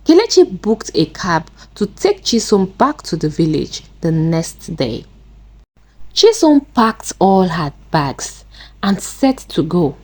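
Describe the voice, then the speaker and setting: A woman narrating a scripted folktale in a deliberate, dramatic way. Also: on the low side, big pitch swings, a little mellow, rough recording. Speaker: storyteller.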